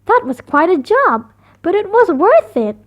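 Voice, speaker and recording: A woman voicing a loud, indignant young boy bear cub in a storybook. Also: very high voice, very animated, a little slow, lo-fi sound, pitched above the performer's own voice, exaggerated voice. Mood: impressed.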